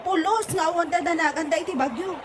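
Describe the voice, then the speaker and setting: A woman playing a nagging, excitable village wife in a comedy audio drama, with an Ilocano accent. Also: extremely high pitch, fast talker, background noise. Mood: gossiping.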